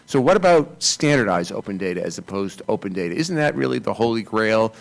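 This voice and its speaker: A man's voice: on the low side, animated, fast talker, creaky. A man speaking up from the audience at a panel, making a fluent, argumentative case into a handheld mic. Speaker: conference audience member. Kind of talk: question from the floor at a panel.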